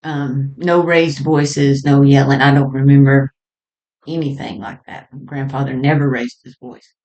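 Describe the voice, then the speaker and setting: A woman telling personal stories in a halting, conversational way with frequent small chuckles as she reminisces. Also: rumbling low, little pitch movement, darkish tone, muffled recording. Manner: halting and conversational, often chuckling mid-sentence. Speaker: storyteller sharing personal experience.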